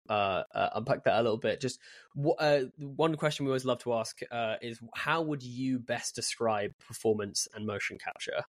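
A man of middle pitch hosts a podcast interview. He asks friendly, loosely built questions and fills them with small hesitations as he thinks aloud. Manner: friendly, rambling questions with fillers. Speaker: podcast host. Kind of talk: casual interview.

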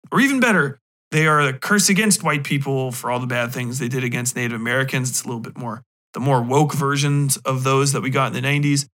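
A man's voice: mid-range voice, fairly bright tone. Male podcast host, mid-range voice, sarcastic, emphatic, exasperated, freely swearing, casual ranting style. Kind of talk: casual profane movie rant.

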